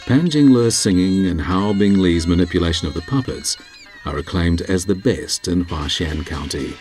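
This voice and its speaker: Very deep-voiced male documentary narrator over music. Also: expressive, normal speed, darkish tone.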